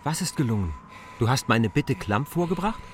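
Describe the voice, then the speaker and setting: An actor playing a suspicious land surveyor in a radio play, speaking coldly and reproachfully. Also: male voice, medium pitch, sing-song, slight background noise, natural voice. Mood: impatient. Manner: cold, reproachful, slow and deliberate.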